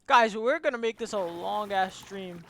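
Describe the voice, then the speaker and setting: A young adult woman giving casual, excitable livestream commentary as she plays a game, reacting freely and swearing. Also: medium pitch, moderate pace, gravelly, soft background music. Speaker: game streamer.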